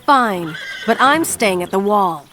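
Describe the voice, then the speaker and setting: A tough railroad boss woman in a game cutscene. She speaks in a brisk, no-nonsense way, with animated, swinging intonation. Faint music plays underneath. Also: mid-range voice. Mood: curt, defiant. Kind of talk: scripted video game cutscene acting.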